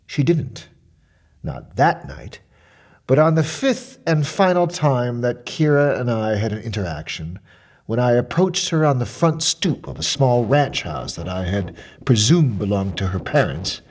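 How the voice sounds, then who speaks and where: A man's voice: on the low side, slight background noise, low-quality recording. An actor playing an obsessive, self-righteous male mystery shopper, pompous and formal, delivering a dramatic monologue as a customer report. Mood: prim, fixated. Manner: pompous, formal, officious, precise wording.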